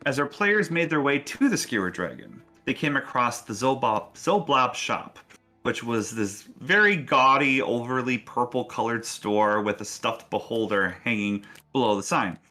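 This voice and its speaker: A man with a bright, forward voice at an ordinary male pitch hosts a tabletop game show. He talks casually and encouragingly as game master, with faint music behind him. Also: expressive, moderate pace. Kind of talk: casual hosting and story recap of a tabletop game.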